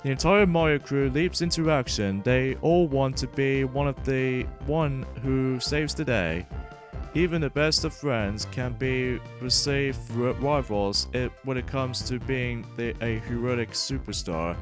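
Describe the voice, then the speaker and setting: A man's voice: mid-range voice, measured pace. A man hosting a gaming let's play, talking in a wordy, rambling way and stumbling over words as he comments and reads along. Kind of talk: gameplay commentary and read-aloud narration. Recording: music playing behind the voice. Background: video game music.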